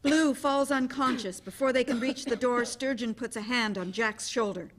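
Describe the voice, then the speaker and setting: A woman's voice: fairly high pitch, steady tone, moderate pace. A woman reading stage directions as scripted narration at a dramatic play reading.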